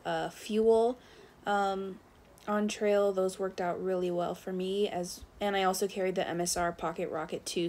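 A woman narrating a casual review of her thru-hiking gear, relaxed, with frequent fillers as she recommends items. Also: mid-range voice, a little hiss or hum.

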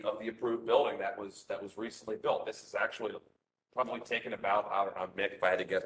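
A man, a land use attorney, walking a community meeting through slides, loud-voiced and casual, with many fillers and restarts. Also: on the low side. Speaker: land use attorney presenting a rezoning case. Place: community meeting room, standing to speak. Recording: echoey meeting room, faint noise.

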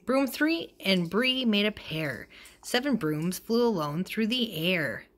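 A woman reading a children's picture book aloud in an expressive way, acting out the dialogue. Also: mid-range voice, takes it slowly. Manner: expressive, acts out character dialogue. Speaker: storybook reader.

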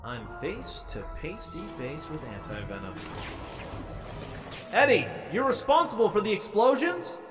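A man's voice: high-pitched, sing-song, unhurried, a bit bright, exaggerated voice. A man voicing a wisecracking, stubborn young superhero in a video game fandub, with sarcastic, quippy delivery. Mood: urgent, confrontational. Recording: long reverb, game music behind.

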